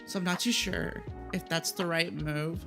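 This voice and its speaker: A woman's voice: lowish voice, steady tone, normal speed, gravelly, over music. A woman chatting casually as she hosts a gaming livestream, giggly and easily sidetracked. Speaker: streamer hosting a gaming livestream.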